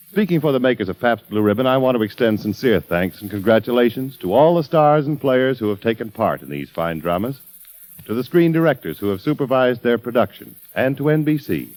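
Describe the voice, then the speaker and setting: A man's voice: medium pitch, expressive, brisk, a little mellow, rough recording. A man working as the announcer of a 1940s radio broadcast, giving a formal, polished sponsor read. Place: radio studio.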